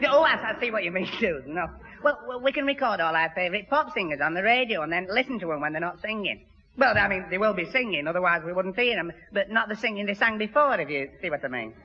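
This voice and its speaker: High-voiced gormless Northern English lad. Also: male voice, expressive, fast, slight background noise. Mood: muddled. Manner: muddled, stumbling explanations. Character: daft, gormless young boyfriend, earnest and muddled. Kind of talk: 1960s radio sitcom acting. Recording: muffled echoey old radio recording.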